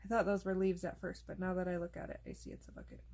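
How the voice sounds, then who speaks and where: A woman host casually narrating a coloring tutorial, chatty and relaxed, thinking aloud as she works, and losing her voice. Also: lowish voice, fast.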